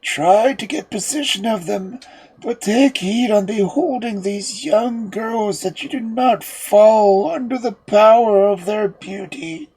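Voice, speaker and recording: A storyteller voicing a dying old father, a solemn magician giving slow, halting, tearful counsel in a fairy tale. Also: mid-range voice, darkish tone, husky, pitched above the performer's own voice, low-quality recording. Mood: frail, solemn.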